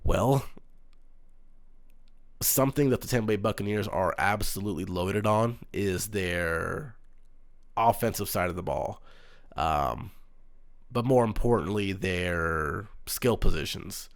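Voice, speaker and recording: A man hosting his own sports talk show, speaking in a calm, earnest monotone with frequent pauses and "um"s. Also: low voice, dry recording. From United States. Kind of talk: solo sports commentary.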